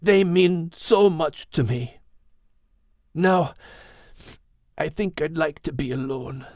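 A man's voice: a little high, slow, darkish tone, no room sound, clean studio sound, pitched above the performer's own voice. A man voicing a humble, grieving male dog in an audiobook, subdued and heartfelt. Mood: subdued, grateful. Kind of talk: audiobook character voice.

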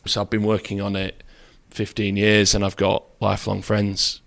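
A man being interviewed as a guest, speaking in a measured, candid way with self-deprecating asides. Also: lowish voice, monotone, gravelly, a bit bright. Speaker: property developer, interview guest. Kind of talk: conversational interview.

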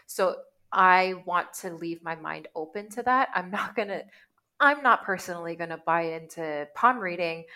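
Woman from Canada, thirties, fairly low voice, chatty, lots of "like"s. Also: normal speed. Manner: chatty and animated, many "like"s, laughs easily. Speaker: guest. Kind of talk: casual conversation.

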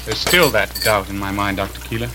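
A man's voice: fairly low pitch, a little fast, close to an everyday voice. A man playing a troubled, doubting husband in an old-time radio soap. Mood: troubled, hesitant. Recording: old radio serial with crackling noise.

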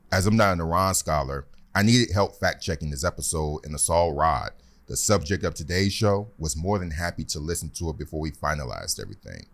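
Deep-voiced man narrating steadily. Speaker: podcast host. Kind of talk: scripted narration and casual reactions.

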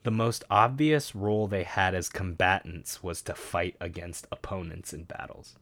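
A man with a fairly low voice co-hosts a relaxed podcast. He reads passages aloud from a web page and keeps breaking off for wry asides. The sound is close and dry, with no room around it. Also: moderate pace, no room sound. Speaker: podcast co-host. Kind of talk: casual chat, reading aloud from a web page.

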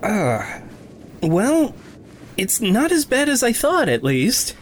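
Slow, hesitant, earnest young man. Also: sits high, wildly swooping pitch, slight background noise, full character voice. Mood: tender, teasing. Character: earnest, caring, awkward young man, gently teasing. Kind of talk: naturalistic audio drama acting.

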